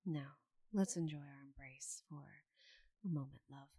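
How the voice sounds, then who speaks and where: A woman voicing a giant female orc knight, teasing, confident and tenderly flustered, in a soft, intimate ASMR roleplay with breathy murmurs. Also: low-pitched, unhurried, very noisy, voice pushed down. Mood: tender, sheepish.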